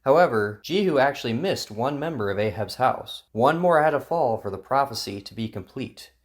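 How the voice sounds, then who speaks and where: A man's voice: medium pitch, expressive, moderate pace. A man narrating a Bible story drama in an earnest, preachy storytelling style. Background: guitar music.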